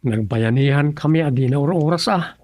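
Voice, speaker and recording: A man's voice: a little high, normal speed, a little mellow, no echo, studio quality, lightly acted. A man with an Ilocano Filipino accent acting as an evasive, scheming village husband in a comic radio serial. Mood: defensive excuses.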